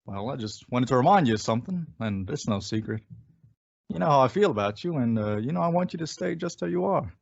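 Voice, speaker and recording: A man playing a gentle, concerned father, speaking intimately and conversationally as he counsels his son in a public-service message. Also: medium pitch, lively intonation, vocal fry. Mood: tender concern. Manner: coaxing, conversational, pausing for replies. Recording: dull, lo-fi audio.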